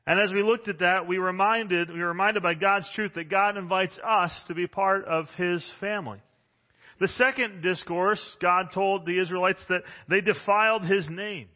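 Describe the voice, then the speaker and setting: A man in his forties preaching a sermon as pastor, loud and emphatic, with frequent restarts, recorded on a microphone in a church. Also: high voice, fairly even intonation, moderate pace. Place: church sanctuary. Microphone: handheld or stand microphone. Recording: dull sound with long hall reverb.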